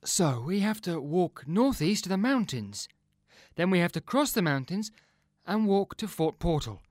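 Eager, resourceful young boy played by a man, animated swoops in pitch, dry close sound, audiobook adventure. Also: unhurried, dry recording. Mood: earnest, determined. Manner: excitable, expressive. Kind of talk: dramatised audiobook dialogue.

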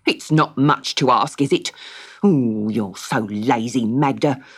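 A woman's voice: very deep voice, slow pace, breathy edge, full character voice. A woman voicing Cedric, a sour, grumpy husband who growls and complains. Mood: grumbling.

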